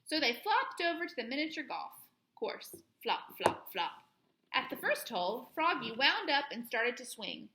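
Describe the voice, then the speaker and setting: A woman host reading a children's story aloud in an expressive, playful way, with plenty of sound words. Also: sits high, unhurried, reverberant, background noise.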